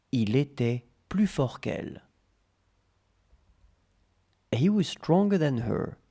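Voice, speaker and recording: Very slow dictation voice, dark and gravelly, lively pitch, repeats phrases chunk by chunk. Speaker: language tutor.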